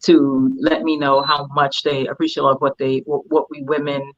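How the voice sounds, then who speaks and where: A woman in her fifties from Barbados talks reflectively as an interview guest, measured and searching for her words. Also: sits low, flat delivery, dull audio. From Barbados, moved to the United States at ten. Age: 50s. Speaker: guest priestess. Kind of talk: casual reflective interview talk.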